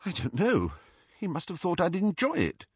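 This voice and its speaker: A man voicing a bookish, defiant teenage girl in an audiobook, in a halting, indignant manner. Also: on the high side, very animated, a bit bright, husky, no room sound, dull audio, voice pushed up, exaggerated voice. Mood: tearful, defensive. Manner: halting, thick-voiced, indignant. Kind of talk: audiobook character voice.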